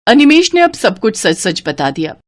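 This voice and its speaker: A woman's voice: on the high side, big pitch swings, normal speed, no echo, clean studio sound. A woman narrating a scripted moral story as a storyteller.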